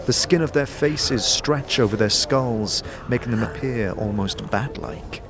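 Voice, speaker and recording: A man giving dramatic scripted narration for a fantasy audio drama, speaking in a measured, deliberate manner. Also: mid-range voice, noisy background. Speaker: narrator and game protagonist. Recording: prominent music bed under the voice.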